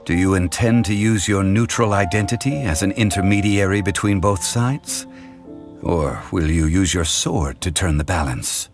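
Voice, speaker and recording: A man voicing a calm, wise male consultant who speaks in a measured, formal way, in a game scene. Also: deep voice. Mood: grave. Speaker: consultant to a funeral parlor. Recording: game audio with music behind.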